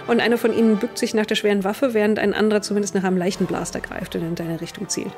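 A young woman runs a tabletop game, describing scenes as she makes them up. Her voice sits at a medium pitch, with faint music playing behind it. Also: fairly even intonation, fast, a little mellow. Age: young adult. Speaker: game master. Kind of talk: improvised tabletop game narration.

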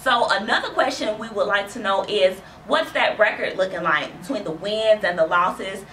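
A woman in her thirties hosting an interview show with brisk, cheerful presenter patter. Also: medium pitch, pushed and bright. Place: small studio office. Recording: echoey room, mic far off, faint noise.

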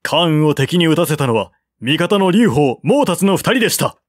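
Earnest court retainer, acted by a male narrator: raised pitch, formal, insistent, historical audiobook. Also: sing-song, moderate pace, dry recording, clean studio sound. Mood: urgent, insistent.

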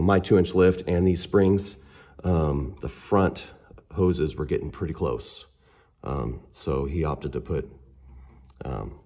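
A hoarse, very deep-voiced middle-aged man walks through his work in a garage workshop. He talks slowly and casually, pausing often with ums as he explains. The sound comes from a handheld phone camera. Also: dark tone. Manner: unhurried, hesitant, many ums. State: hoarse from a cold. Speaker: off-road fabricator. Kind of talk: casual technical walkthrough.

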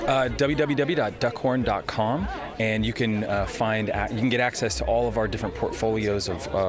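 A man in his forties, a winery executive, answers questions outdoors on a lawn. His voice sits low and he halts often with fillers. Music plays in the background. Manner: halting, many filler sounds. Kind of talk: on-camera interview.

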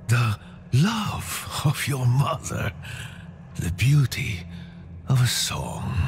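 A man's voice: mid-range voice, exaggerated voice. A man playing a rapturous religious devotee, delivering a reverent, intense monologue for a game cinematic. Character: fervent, rapturous male religious devotee narrating a prophecy.

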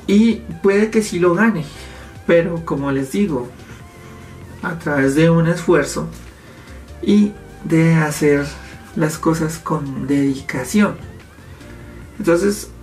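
Gesturing man, home commentary. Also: on the high side. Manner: explanatory, gesturing with his hands. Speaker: YouTube host. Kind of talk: casual commentary to camera. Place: home office indoors. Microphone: fixed camera, medium framing.

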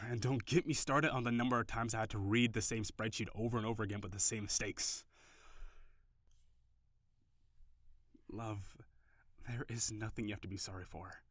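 A man playing an overworked office boyfriend in an audio roleplay. He sighs often, curses when frustrated and softens into affection. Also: lowish voice, steady tone, a little fast, no echo. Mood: soft, affectionate. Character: stressed, overworked office boyfriend, gruff but tender. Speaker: voice actor.

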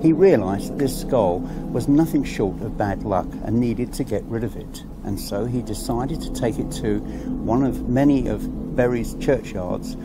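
A middle-aged Englishman presenting a documentary to camera in an outdoor country churchyard, telling the story in a measured, solemn way. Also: on the low side.

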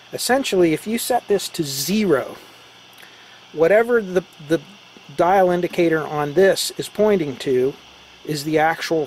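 A man's voice: fairly high pitch, slight background noise, poor audio. A man giving a casual, conversational hands-on explanation, taking it step by step as a hobbyist presenter. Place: indoors beside radio equipment.

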